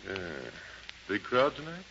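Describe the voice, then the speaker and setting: An actor playing a shrewd, suspicious gambling-house boss in a 1930s radio drama, American, clipped and authoritative. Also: male voice, mid-range voice, lively intonation, measured pace. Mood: curious, approving. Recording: noisy, dull 1930s radio recording.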